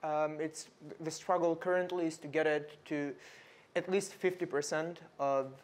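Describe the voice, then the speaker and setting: Young man from north macedonia, panel researcher, measured, many fillers and repeats. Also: a little high, steady tone. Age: young adult. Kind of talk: panel talk.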